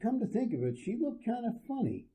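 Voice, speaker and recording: A man playing an affable suburban husband and father in an old-fashioned radio drama, casual, folksy and gently concerned. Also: very high-pitched, very animated, brisk, heavily acted. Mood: relaxed, teasing.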